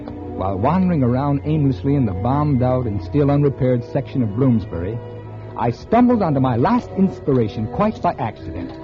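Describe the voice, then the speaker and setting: An actor playing a weary, condescending, washed-up mystery writer in a 1940s radio drama. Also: male voice, medium pitch, lightly acted. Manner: weary, condescending, literary first-person narration. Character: bitter, self-absorbed, washed-up male mystery writer. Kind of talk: 1940s radio acting, noir-like narration. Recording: dull vintage radio, loud music.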